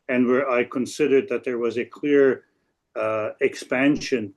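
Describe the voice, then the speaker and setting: Halting Belgian Dutch-accented man in his sixties. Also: medium pitch, darkish tone. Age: 60s. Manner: halting, with frequent hesitations and repeats. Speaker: law professor on a panel. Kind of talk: introducing own work at a webinar. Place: office.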